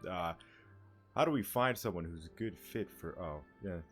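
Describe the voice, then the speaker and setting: A man's voice: low voice, expressive, normal speed, a bit bright, faint music behind. A young man giving casual, rambling commentary while playing a game. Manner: rambling, filler-heavy. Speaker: streamer.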